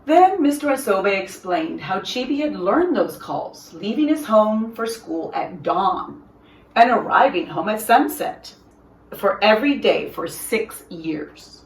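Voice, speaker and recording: Echoey, expressive woman reading a storybook. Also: mid-range voice, slow, a little hiss or hum. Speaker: storyteller reading a children's picture book. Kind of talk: expressive read-aloud of a children's story. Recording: roomy echo, distant from microphone.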